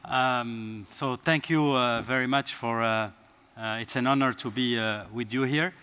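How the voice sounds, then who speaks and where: A man from Morocco answering questions on a conference panel, halting with many fillers and restarts. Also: mid-range voice, fairly even intonation, sharp tone, clean tone. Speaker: panelist, fund co-founder. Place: conference stage.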